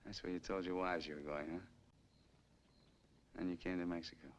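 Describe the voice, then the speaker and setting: A man's voice: deep voice, little pitch movement, fairly quick, dull audio. An actor playing a menacing, mocking killer who barks orders and taunts his hostages in a 1950s crime film. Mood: mocking, sneering. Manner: barking orders, taunting, slangy. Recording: noisy old film soundtrack, vehicle sounds.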